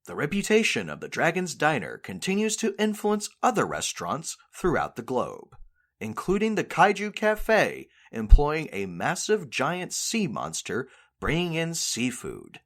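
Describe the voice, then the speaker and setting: A man playing an earnest, slightly cowardly news reporter, narrating a mock documentary with a husky voice and tongue-in-cheek delivery. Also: a little high, wildly swooping pitch, measured pace, a bit bright, heavily acted. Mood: narrating, intrigued.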